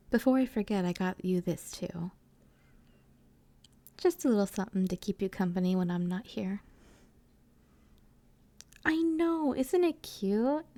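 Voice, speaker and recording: Woman acting a slow, creaky, doting best friend. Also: medium pitch, dark tone, no room sound. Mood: tender, doting. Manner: affectionate, teasing, rambling, slangy. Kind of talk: intimate audio roleplay, casual one-sided chat.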